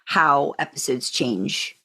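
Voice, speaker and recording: A woman's voice: a bass voice, lively intonation, slow, bright, forceful tone. A woman co-hosting a podcast, talking casually and emphatically as an enthusiastic fan. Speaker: podcast co-host.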